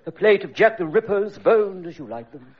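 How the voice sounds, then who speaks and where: A haughty, droll butler in a radio sketch, pompous and drily over-polite. His voice sits high for a man. The recording is dull, with clear hiss behind it. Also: expressive, moderate pace. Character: haughty, droll upper-class male butler. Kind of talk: radio sketch comedy acting.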